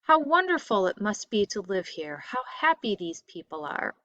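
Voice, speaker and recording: A sheltered young prince from a storybook, read by a woman, speaks with earnest wonder. The questions come insistently, lifting high and swinging in pitch, in a dry, close sound. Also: big pitch swings, moderate pace, sharp tone, no echo, highs cut off. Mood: delighted wonder. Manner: wide-eyed, insistent questioning.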